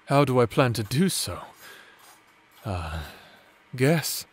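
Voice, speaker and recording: A man's voice: mid-range voice, very animated, slow pace, breathy edge, heavily acted. A man playing a doting, villainous necromancer husband in a romantic ASMR audio drama, speaking in a theatrical, formal and tender manner. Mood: confiding, scheming.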